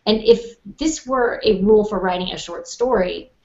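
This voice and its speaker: A woman discussing fiction writing casually as a podcast panelist, measured and careful to qualify her points. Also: mid-range voice, darkish tone. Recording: echoey room, dull lo-fi audio.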